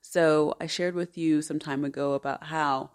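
A woman's voice: deep voice, steady tone, normal speed, clean tone. A woman in her thirties, a podcast host, telling a personal story in an earnest, chatty way. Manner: chatty and earnest, with many fillers and restarts.

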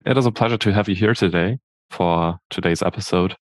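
A man's voice: deep voice, brisk, no echo, clean studio sound. A man co-hosting a podcast, welcoming the guest and asking questions in a friendly, loosely phrased way. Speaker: podcast co-host. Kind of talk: casual interview questions.

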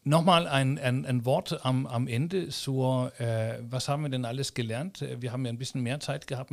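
A man hosting a podcast interview, talking casually and haltingly with many restarts and fillers. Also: mid-range voice, gravelly. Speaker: podcast host.

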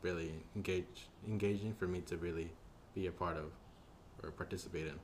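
A young man with a deep voice, a guest on a casual podcast, talking in a soft, hesitant way with many pauses and restarts. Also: steady tone, a little hiss or hum.

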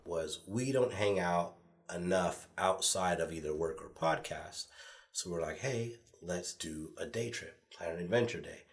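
A man with a low, nasal voice, stuffed up from a cold. He co-hosts a casual podcast among friends, loose and teasing, drifting off on tangents before pulling the chat back on track. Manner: teasing, rambling. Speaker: podcast co-host.

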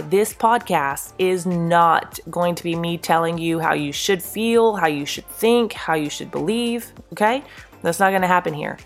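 Stuffed-up woman podcast host, lowish gravelly voice, candid and earnest, faint music underneath. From North Carolina. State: congested, throaty from allergies. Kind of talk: casual solo podcast talk.

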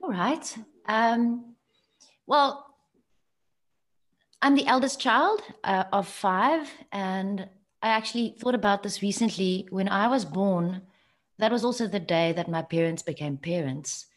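Reflective woman, Afrikaans native speaker. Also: medium pitch. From South Africa. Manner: soft-spoken, reflective, some halting restarts. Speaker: guest. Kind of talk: personal storytelling.

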